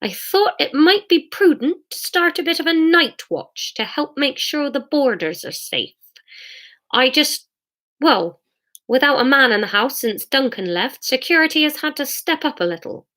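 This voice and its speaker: A woman's voice: high voice, lively intonation, moderate pace, sharp tone, pitched above the performer's own voice. A woman voicing a prim, nosy, slightly sheepish elderly village lady in a storybook.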